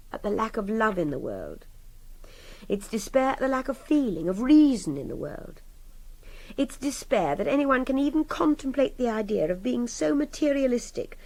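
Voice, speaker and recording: A high-pitched, impassioned young Englishwoman. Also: very animated, measured pace. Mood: despairing, passionate. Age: young adult. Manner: articulate, impatient, hectoring. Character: defiant, passionate, superior young woman held captive. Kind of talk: radio drama dialogue.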